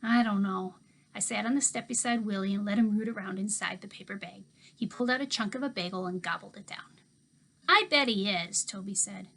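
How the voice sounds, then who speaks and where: A woman teacher reading a children's novel aloud to her class with expressive storybook delivery. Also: medium pitch, quick pace, vocal fry, slight background noise.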